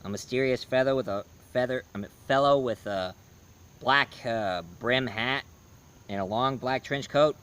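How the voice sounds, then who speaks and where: A man giving a casual spoken review, hoarse, rambling and correcting himself mid-sentence. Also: mid-range voice, moderate pace, fairly bright tone. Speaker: movie and comics reviewer.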